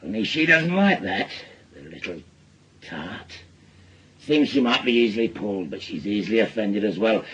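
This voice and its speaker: An actor playing a bitter, menacing wronged husband in a radio drama, with a Northern English accent and a goading, rambling way of telling things. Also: male voice, on the low side, animated, normal speed, fairly bright tone, dull audio, slight background noise. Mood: sardonic, bitter. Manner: goading, rambling storyteller with taunting tag questions. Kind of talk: naturalistic radio drama acting.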